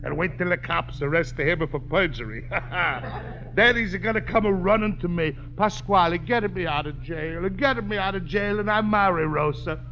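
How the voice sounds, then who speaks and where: An actor playing a gleeful, conniving Italian immigrant who wheedles in broken English with an Italian accent in a radio comedy. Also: male voice, sits high, moderate pace, bright, forceful tone. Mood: gleeful scheming. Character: scheming, gleeful Italian immigrant man, conniving false friend. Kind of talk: 1950s radio comedy acting. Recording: noisy, dull old radio recording.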